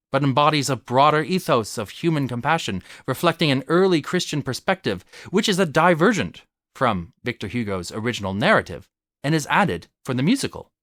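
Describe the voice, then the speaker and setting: A man delivers a scripted essay with lively, varied intonation. His voice sits at a middling pitch and is recorded close and dry. The delivery is formal and articulate, laying out each argument in an expository way. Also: moderate pace, no room sound.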